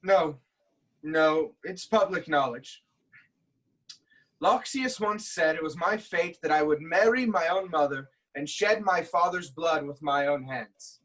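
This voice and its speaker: A man's voice: on the high side, unhurried, fairly bright tone, low-quality recording. An actor playing a proud, troubled king haunted by prophecy, in an intense, declamatory reading of Greek tragedy. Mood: fearful.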